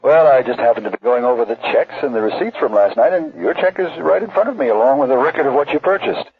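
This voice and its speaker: An actor playing a polite, evasive art auctioneer on the telephone in a radio drama. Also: male voice, mid-range voice, animated, fast, close to an everyday voice. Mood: courteous, guarded. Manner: hedging, courteous. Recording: tinny phone line in radio play.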